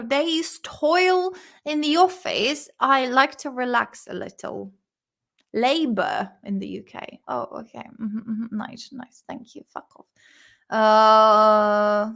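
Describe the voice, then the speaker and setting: Young Czech woman, vlog host; crisp, bright tone, a bit high; loose, sweary, giggly study-along chat. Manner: chatty, swears freely, laughs often; sounds words out slowly and repeats them.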